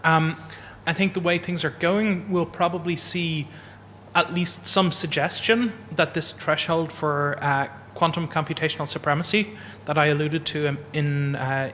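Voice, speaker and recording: A man with a fairly high voice explains carefully and in measured steps, using fillers as he thinks. A long hall reverb trails his words, with faint room ambience underneath. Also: a bit bright, a little hiss or hum. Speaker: panelist. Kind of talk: answering a panel question. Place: event hall.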